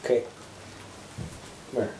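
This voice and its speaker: A man, a parent, reading a bedtime story aloud to young children at home, with casual asides. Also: slow pace. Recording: roomy home recording with background noise.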